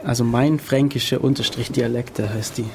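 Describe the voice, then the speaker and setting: A man's voice: medium pitch, fairly even intonation, normal speed, vocal fry, slight background noise. A man hosting a casual podcast, reading an article aloud and stumbling over words now and then. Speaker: podcast host.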